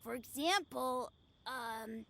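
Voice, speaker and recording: A woman voicing an eager, boastful young child in a video game, excitable and self-important. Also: sits high, slow, creaky, full character voice. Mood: boastful, faltering. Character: eager, boastful little child playing martial arts master.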